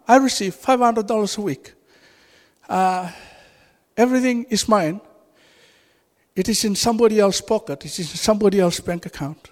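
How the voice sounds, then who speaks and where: A man with a Fijian accent, a native Fijian speaker, preaching an informal sermon in an animated way and laughing often. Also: very high voice. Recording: reverberant hall.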